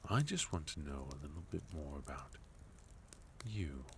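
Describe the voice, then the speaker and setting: A man playing a sophisticated, composed villain in an intimate ASMR roleplay, speaking in measured, courteous phrases with soft menace and deliberate pauses. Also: rumbling low, sing-song, background noise, exaggerated voice. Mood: courteous, probing. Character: sophisticated, composed male villain, leader of enemy organization.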